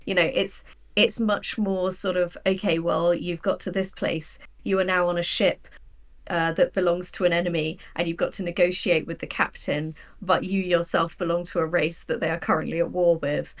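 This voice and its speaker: A woman guest on a podcast, a writer and game creator talking casually and telling things in an animated, quote-acting way. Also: lowish voice, moderate pace.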